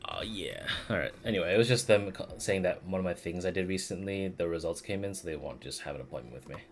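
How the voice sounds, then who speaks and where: Low-voiced man rambling on a game stream. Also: animated, fast talker. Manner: rambling, informal. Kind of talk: casual live stream commentary. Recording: music behind the voice. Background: game music.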